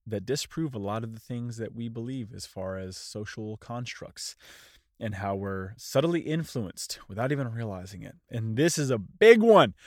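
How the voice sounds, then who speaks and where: A man's voice: medium pitch, no echo. Man, podcast host, stuffy and a bit nasal, easygoing unscripted talk, dead dry recording. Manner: loose, self-deprecating, unscripted one-take delivery. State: congested, slightly nasal. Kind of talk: casual solo podcast talk.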